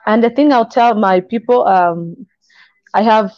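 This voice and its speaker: A young woman from Congo, not an English speaker, speaking earnestly and encouragingly in halting phrases on a video call from a kitchen. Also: on the high side, a little mellow, dry recording. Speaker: guest. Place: kitchen indoors. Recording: dull, lo-fi call audio.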